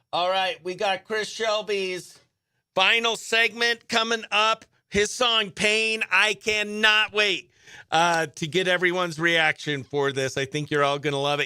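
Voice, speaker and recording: A man hosting a morning radio show with casual live chat, talking in an excitable, slangy, exclamatory way. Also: sits high, smooth, dry recording. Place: dry radio studio.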